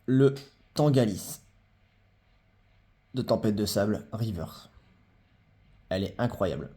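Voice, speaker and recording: Mellow low-voiced man, hesitant chat. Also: lively intonation, takes it slowly. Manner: hesitant, many fillers and repeated phrases. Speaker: collector presenting his trading cards. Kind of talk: casual show-and-tell vlog.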